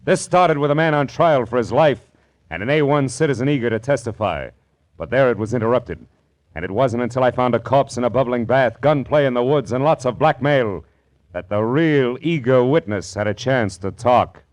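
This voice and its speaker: A man's voice: medium pitch, moderate pace, lightly acted. A man playing a wry, hard-boiled private detective, narrating in clipped 1940s radio noir style. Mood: hard, punchy. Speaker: lead actor and narrator. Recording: old radio broadcast with music bed.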